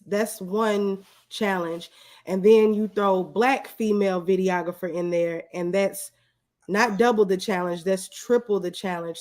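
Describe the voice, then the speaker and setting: A woman's voice: mid-range voice, a little mellow. A woman answering as an interview guest in a conversational, anecdotal way, acting out bits of dialogue as she talks. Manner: anecdotal, acts out quoted exchanges. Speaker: guest, videographer.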